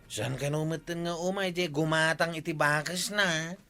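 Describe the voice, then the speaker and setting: A man's voice: fairly high pitch, moderate pace, music in the background, lightly acted. An actor playing an easily outraged village man in a radio comedy drama, exclaiming in exaggerated fashion with an Ilocano accent. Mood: incredulous.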